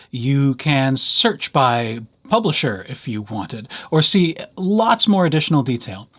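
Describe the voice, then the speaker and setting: A man giving an informal software tutorial as a library instructor, talking conversationally with lightly playful asides. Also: on the high side, breathy edge.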